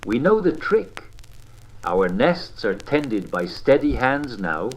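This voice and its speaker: A man playing an avuncular old-time radio propaganda host, genteel and smugly patriotic, with theatrical phrasing and deliberate pauses. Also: mid-range voice, sing-song, slight background noise, low-quality recording. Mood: smug, soothing. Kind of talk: vintage radio propaganda narration.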